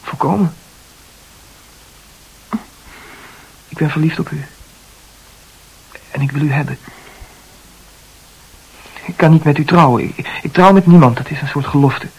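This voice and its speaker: An actor playing a self-absorbed, intense man in a Dutch radio play, talkative and self-analysing, with halting repetitions. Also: a little high, husky, rough recording. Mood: ardent.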